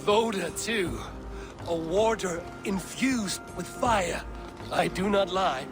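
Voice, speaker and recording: An actor playing a loyal, plain-spoken Viking warrior in a video game cutscene, with a measured, earnest delivery. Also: male voice, sits high. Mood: teasing. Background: prominent music.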